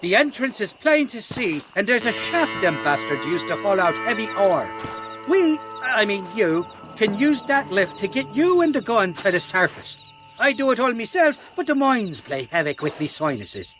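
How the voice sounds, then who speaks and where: An actor voicing a shifty drunken Irish rogue in a game, blustering and pleading in a slurred, rambling way. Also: male voice, high-pitched, very animated, moderate pace, music in the background. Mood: scheming, bluffing. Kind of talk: game voice acting.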